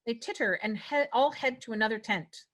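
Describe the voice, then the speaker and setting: A woman's voice: on the high side, fairly quick, fairly bright tone. A woman reading stage directions as the narrator at a script table read.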